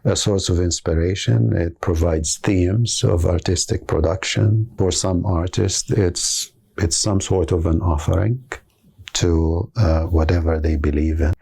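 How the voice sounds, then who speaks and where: Older palestinian guy, arabic first language, super low gravelly voice, slow careful talk with lots of uhs. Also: mellow. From Gaza, Palestine. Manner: slow, measured, with pauses and fillers. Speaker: calligraphy artist. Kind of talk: documentary interview.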